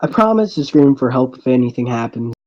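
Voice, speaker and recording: A man with a mellow, unforced voice reads story narration and dialogue aloud in an amateur way. His delivery halts and restarts. The microphone sounds a little dull. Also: mid-range voice, very animated, a little fast. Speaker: reader voicing the protagonist of a fan visual novel. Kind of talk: amateur dramatic reading of first-person narration and dialogue.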